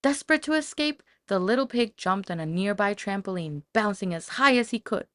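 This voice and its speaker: A woman narrating a children's story from a script in a dramatic, expressive storytelling manner. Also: mid-range voice, fairly quick, no echo. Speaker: audiobook narrator.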